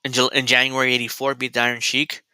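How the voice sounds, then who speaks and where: A man in his thirties hosts a casual commentary show with a very bright, tense voice that sounds smooth and crisp. He rambles and digresses, restarting his sentences often. The sound is dry, close and free of room echo. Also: mid-range voice, monotone, quick pace. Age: 30s. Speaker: host.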